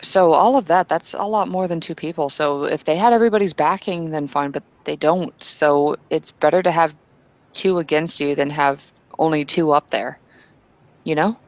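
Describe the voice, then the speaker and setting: A woman playing Bettina, an earnest and devout kinfolk woman, arguing insistently with halting restarts in a tabletop roleplay. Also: deep voice, no room sound, poor audio. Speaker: player character.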